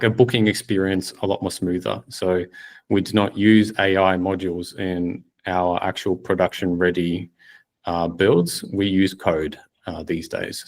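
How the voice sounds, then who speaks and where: A man hosting a course video call, upbeat and encouraging. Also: deep voice. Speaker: course host. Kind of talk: casual call wrap-up.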